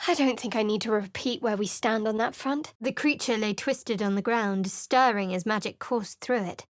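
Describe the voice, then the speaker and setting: Woman doing sardonic young fantasy game cleric gal, guarded, dry clipped delivery, medium pitch, no room sound. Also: moderate pace, no room sound, natural voice. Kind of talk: fantasy video game voice acting.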